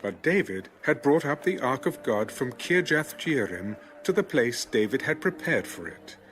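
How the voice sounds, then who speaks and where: A man narrating scripture in a measured, formal, solemn storytelling style. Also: mid-range voice, very animated, a bit bright, soft background music.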